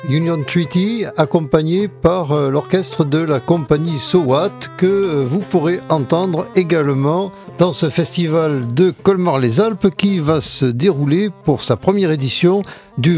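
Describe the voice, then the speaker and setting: A man's voice: a little high, unhurried, a little mellow, soft background music. A man hosting a radio interview, announcing festival dates and introducing his guests in a friendly way. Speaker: radio host.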